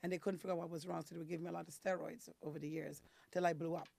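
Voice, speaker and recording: A woman from the Caribbean with a raspy, hoarse voice, talking as a guest and explaining things step by step with frequent ums. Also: sits low, little pitch movement, very fast, darkish tone, dry recording. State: hoarse from detox. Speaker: guest, detox specialist. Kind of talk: casual interview talk.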